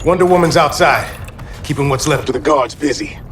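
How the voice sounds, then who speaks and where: An actor playing a steady cyborg man who reports in a confident, matter-of-fact way. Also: on the high side, brisk, slight background noise, lightly acted. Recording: game cutscene with music.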